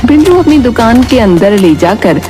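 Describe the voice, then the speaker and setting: A woman's voice: on the high side, very animated, normal speed, over loud music. A woman narrating a scripted children's cartoon story as the storyteller.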